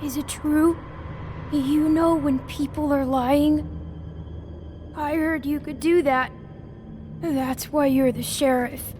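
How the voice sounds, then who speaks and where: Game casting: scared little creature boy, very high voice, slow hesitant delivery, apologetic, faint noise behind. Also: steady tone, darkish tone, soft background music. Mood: timid. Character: frightened, guilty young toad boy. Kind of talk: video game voice acting.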